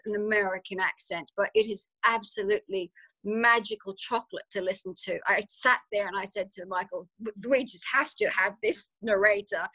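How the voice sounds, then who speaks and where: Australian woman, author guest, chatty and rambling, mid pitch. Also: a bit bright, poor audio. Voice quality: chatty, repeats words often, many fillers. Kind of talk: casual interview answers.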